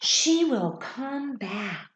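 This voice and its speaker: A woman voicing a small young fawn in a storybook, patient and trusting, with drawn-out childlike insistence. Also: high voice, sing-song, pitched above the performer's own voice, full character voice. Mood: trusting, hushed. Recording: echoey, roomy sound.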